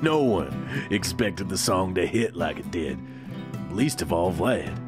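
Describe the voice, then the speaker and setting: An actor playing a weary, grieving musician giving plainspoken, reflective testimony in an audio documentary. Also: male voice, low voice, very animated, a little slow, music in the background. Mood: wistful, somber. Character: weary, grieving male musician looking back.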